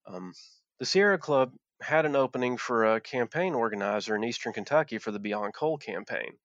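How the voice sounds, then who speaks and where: A man's voice: medium pitch, expressive, moderate pace, dry recording. A man from southwest Virginia, a former coal miner speaking earnestly as an interview guest, often restarting and repeating words. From southwest Virginia, Appalachia. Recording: dull, dry lo-fi sound.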